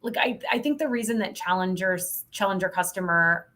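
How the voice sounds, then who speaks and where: A woman's voice: medium pitch, normal speed, fairly bright tone. A woman speaking as a podcast guest in a casual interview, giving candid opinions with emphasis. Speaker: podcast guest, sales professional.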